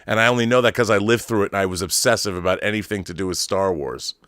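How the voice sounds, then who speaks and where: Male livestream host, middle-aged, lowish voice, casual and opinionated fan talk with halting restarts, close dry sound. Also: fairly bright tone, no echo. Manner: halting, rambling, repeats words while thinking. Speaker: host of a film fandom livestream. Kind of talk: casual commentary, answering viewer messages.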